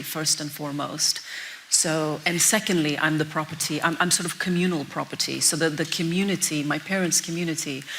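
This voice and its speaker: A woman's voice: sits low, fairly bright tone. A young woman from Norway, a documentary filmmaker, answering interview questions in an earnest, reflective way with frequent restarts. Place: event venue. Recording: faint hiss.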